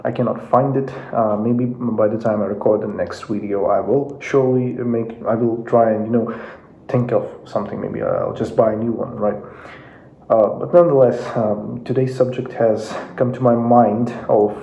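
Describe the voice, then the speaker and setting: A man from Russia whose first language is Russian, talking casually from his home office without a proper microphone, pausing often with fillers and restarts. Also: mid-range voice, fairly even intonation. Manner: hesitant, many fillers and restarts. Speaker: YouTube commentator. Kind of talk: casual talk to camera. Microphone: no dedicated microphone. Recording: roomy, dull lo-fi sound.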